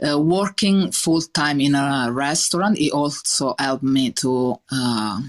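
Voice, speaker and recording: Slow-talking Italian woman chef. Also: rumbling low. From Italy. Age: 30s. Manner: earnest, halting, many fillers and restarts. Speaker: chef guest. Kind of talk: podcast interview.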